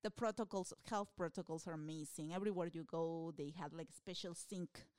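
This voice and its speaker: A woman's voice: on the low side, fairly quick, a little mellow, smooth, no room sound. A woman from Peru with a Peruvian Spanish accent, chatting casually as a comedian guest, laughing often and restarting her sentences. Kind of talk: casual interview chat.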